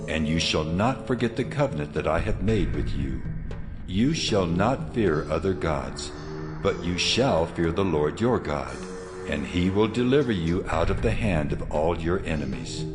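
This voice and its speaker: A deep, commanding God. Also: male voice. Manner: solemn, commanding, deliberate. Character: God of Israel, solemn commanding male deity. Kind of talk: dramatized scripture audio acting.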